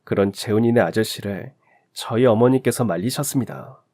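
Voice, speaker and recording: A man narrating a heartfelt first-person life story in a gentle, reflective scripted telling. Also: fairly low pitch, moderate pace.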